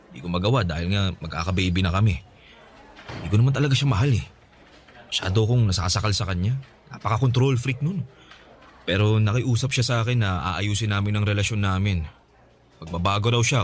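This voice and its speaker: A man's voice: on the low side, a little hiss or hum, close to an everyday voice. An actor playing a remorseful young man begging. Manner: earnest, hesitant pleading. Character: remorseful young man pleading for another chance. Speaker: voice actor in radio drama. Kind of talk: Tagalog radio drama acting.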